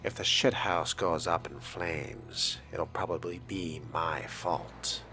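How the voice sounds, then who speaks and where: A man role-playing a dry, smug young vampire in a video game, muttering deliberately and deadpan. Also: sits low, over music. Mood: deadpan, wry. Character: male vampire fledgling, dry, smug, self-amused loner.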